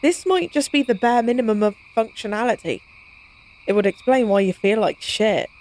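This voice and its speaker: A cocky female vampire with a fairly high voice, acted by a woman, talks casually in an intimate audio drama. She is teasing and wry, with the flippant air of a monster who loves science. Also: expressive, moderate pace, darkish tone, close to an everyday voice, poor audio. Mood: curious, wry. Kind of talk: intimate audio roleplay, conversational acting.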